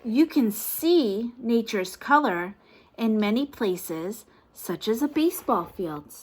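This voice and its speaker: Slow, sing-song woman reading to toddlers. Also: fairly high pitch, animated, husky, low-quality recording. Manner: sing-song encouraging questions to young children. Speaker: children's teacher reading storybook aloud. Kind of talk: interactive picture-book read-aloud for toddlers.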